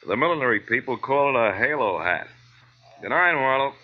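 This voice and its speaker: A man's voice: mid-range voice, animated, fairly quick, slight background noise, close to an everyday voice. An American man playing an easygoing, plain-spoken police lieutenant in casual conversation in a 1940s radio drama. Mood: casual, musing. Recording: muffled vintage 1940s radio recording.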